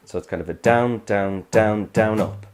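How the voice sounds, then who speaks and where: A man, hoarse from a cold, explaining guitar chords step by step in a casual tutorial. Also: on the low side, moderate pace. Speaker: guitar teacher.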